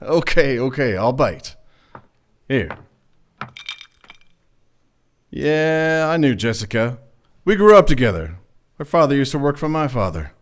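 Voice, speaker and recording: A man's voice: medium pitch, big pitch swings, normal speed, close to an everyday voice. A man playing a suave, guarded gangster's son in a noir radio play, talking casually with cagey hesitations.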